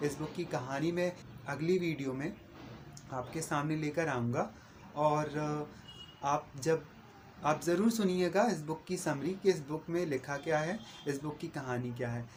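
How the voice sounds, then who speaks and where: A man's voice: fairly high pitch, unhurried, a little hiss or hum. A Hindi-speaking man giving an earnest, repetitive book recommendation in a casual video review.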